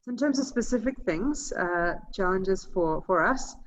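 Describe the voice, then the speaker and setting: A woman in her forties from New Zealand, with a New Zealand accent, speaking earnestly and reflectively as a student ministry worker and pausing to search for words. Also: medium pitch, lively intonation. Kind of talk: informal talk on a video call. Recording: slightly muffled video-call audio.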